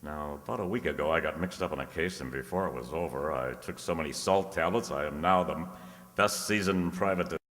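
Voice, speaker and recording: A man's voice: rumbling low, brisk, a bit bright. An actor playing a wry, world-weary private detective who narrates his own case in a scripted radio drama. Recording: echoey hall with long reverb.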